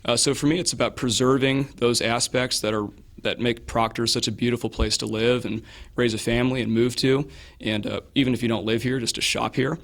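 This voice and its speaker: A hoarse man answering interview questions as a local candidate, measured and hedging, with frequent fillers and restarts. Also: medium pitch, steady tone, a bit bright. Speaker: political candidate.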